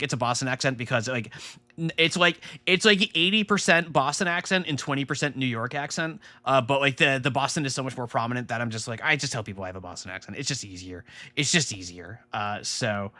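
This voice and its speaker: A man's voice: medium pitch, quick pace, pushed and bright, no echo. Chatty man with a Rhode Island accent. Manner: rambling, filler-heavy, laughs often. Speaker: streamer and game developer. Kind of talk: casual live-stream chat.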